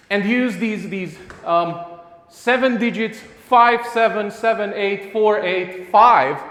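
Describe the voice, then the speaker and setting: A man's voice: sits high, a bit bright. A man with a Romanian accent gives a live conference talk in a chatty, self-mocking way, full of restarts and fillers. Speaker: conference speaker. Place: conference hall before a live audience. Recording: echoey hall with a long reverb.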